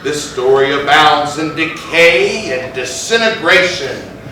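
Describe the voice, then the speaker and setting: A man playing an ominous, grandiose horror storyteller with a florid, dramatic, drawn-out delivery on stage. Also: on the high side, pushed and bright. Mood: ominous relish. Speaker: introducer of stage readings. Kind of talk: theatrical gothic horror introduction. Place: live theatre stage, recorded from afar. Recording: echoey distant hall, faint noise.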